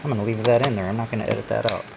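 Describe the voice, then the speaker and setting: Male reviewer, lowish gravelly voice, halting off-the-cuff product walkthrough, noticeable background noise. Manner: unscripted, halting, pausing while handling items. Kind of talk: casual hands-on gadget demonstration. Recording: steady background hum and noise.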